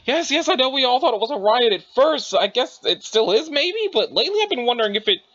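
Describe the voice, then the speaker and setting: A man's voice: very high-pitched, sing-song, fast talker, fairly bright tone, dull audio, full character voice. A man playing a rambling, self-absorbed young adventurer in an audio drama, with bumbling, old-fashioned folksy turns of phrase. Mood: rambling, fretful. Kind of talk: dramatized dialogue reading.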